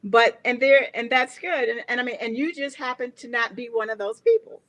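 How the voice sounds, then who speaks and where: A woman's voice: on the high side, a little fast, over a noisy crowd. A woman with a Southern American accent, a guest in a panel conversation, earnestly building her points through stories.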